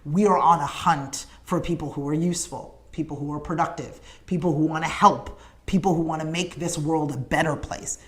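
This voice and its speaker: A woman's voice: deep voice, fairly even intonation, moderate pace. Earnest woman hosting a morning stream. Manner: earnest, emphatic, repetitive rallying cadence; addresses viewers in comments by name. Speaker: morning show host. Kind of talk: direct-to-camera livestream appeal.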